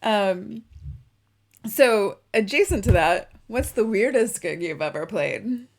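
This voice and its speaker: A woman hosting a casual interview, relaxed and agreeable, talking in a loose, rambling way. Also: mid-range voice, slow, creaky. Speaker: interview host.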